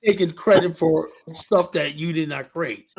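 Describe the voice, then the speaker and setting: A man's voice: on the high side, measured pace, vocal fry, darkish tone, breathy edge. A man from the United States with a New York accent, leading a heated group debate and cutting others off with repeated "hold on". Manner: argumentative, interrupts with repeated "hold on".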